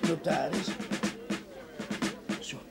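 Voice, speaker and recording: An actor playing a young village priest in a television period drama, slyly persistent, with hesitant, pointedly polite speech. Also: male voice, very slow. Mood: slyly insistent. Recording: 1970s TV soundtrack with loud music.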